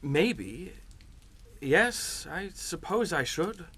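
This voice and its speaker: Posh english captain in a radio play, hearty blustery type, kinda high, super animated. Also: male voice, extremely slow, husky, exaggerated voice. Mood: startled. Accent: British. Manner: hearty, old-fashioned upper-class bluster. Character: blustering, genial English captain, an uneasy guest. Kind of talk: radio suspense drama acting.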